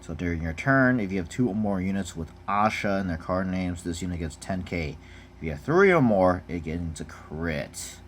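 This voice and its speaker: Low-pitched man, casual card reviewer. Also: lively intonation, normal speed, slight background noise. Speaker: card game unboxing vlogger.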